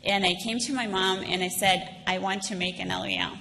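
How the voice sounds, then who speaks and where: A woman's voice: mid-range voice, sing-song, normal speed, bright, forceful tone. Ukrainian-accented woman speaking in a reverberant hall. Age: about 30. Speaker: guest speaker, school alumna. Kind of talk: personal speech at a dinner event. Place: large indoor event hall. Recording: noisy, reverberant hall recording.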